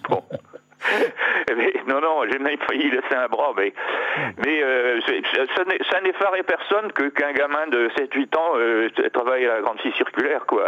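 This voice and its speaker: A man in his seventies from Normandy talks at an ordinary mid pitch. He speaks in a halting way, full of fillers and restarts, with small chuckles. His voice comes through a muffled phone line. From Normandy, France. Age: 70s. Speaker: sculptor guest. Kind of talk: casual interview over the phone. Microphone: telephone line.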